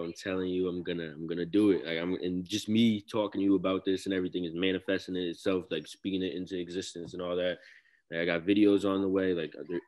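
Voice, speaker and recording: A young man from Connecticut with a deep baritone voice, talking casually as a guest rapper in an interview, with loose, slangy speech full of "like" fillers. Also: a little mellow. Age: young adult.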